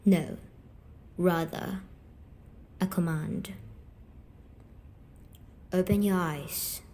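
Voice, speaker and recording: A woman voicing a gentle, wounded female sleep paralysis demon in an ASMR roleplay, coaxing softly and deliberately with breathy half-whispers. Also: medium pitch. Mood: pleading, commanding. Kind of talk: slow ASMR storytelling roleplay.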